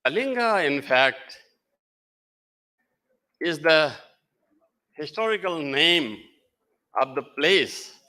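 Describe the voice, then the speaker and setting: Slow, halting Nepali-accented man. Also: a little high, lively intonation, sharp tone. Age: older. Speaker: guest speaker. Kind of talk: formal ceremony speech.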